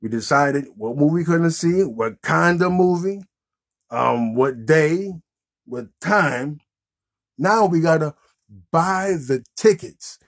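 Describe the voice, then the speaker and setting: A man's voice: fairly high pitch, animated, slow, vocal fry, husky. A man in his thirties hosting a children's puppet show in casual, improvised chat.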